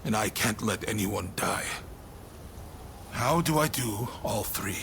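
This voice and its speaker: A man's voice: on the low side, animated, slow, fairly bright tone, slight background noise, exaggerated voice. A man playing a weary, brooding samurai leader in a video game, musing aloud with wry self-deprecation, over music. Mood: weary, burdened. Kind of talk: dramatic video game voice acting. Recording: dialogue over clear background music.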